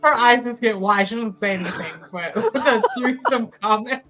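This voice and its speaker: Woman, crisp bright tone, tabletop player, casual narration of her character, light music behind. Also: a little high, moderate pace. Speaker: player in a tabletop roleplaying game.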